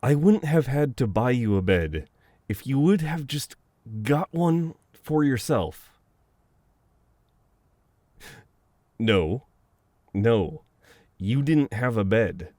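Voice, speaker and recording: A man playing a flustered, teasing, protective best friend in an intimate, conversational audio roleplay, with halting banter. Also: mid-range voice, very animated, a little mellow, dry recording, studio quality. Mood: flustered, exasperated.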